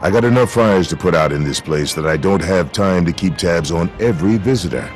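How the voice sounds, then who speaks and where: A man playing a gruff, folksy frontier sheriff and mayor in a video game, plainspoken and authoritative. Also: low-pitched, lively intonation, brisk, soft background music. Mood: businesslike, wary. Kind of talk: video game character dialogue.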